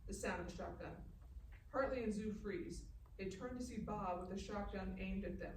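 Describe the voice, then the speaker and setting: A woman's voice: medium pitch, moderate pace, distant mic, slight background noise. Woman reading stage directions, echoey live room. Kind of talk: scripted narration at a live table read. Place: live festival event room.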